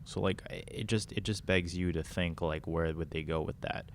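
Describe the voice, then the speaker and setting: A man on a casual discussion panel, talking haltingly and repeating words while he thinks. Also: low-pitched, fairly even intonation, slight background noise. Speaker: talk show panelist. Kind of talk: casual panel chat about games and films.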